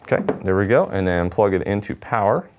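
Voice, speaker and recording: A man's voice: fairly low pitch, expressive, fairly quick, noisy background. A man, giving a casual unboxing demonstration as a product presenter. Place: indoor studio at a table.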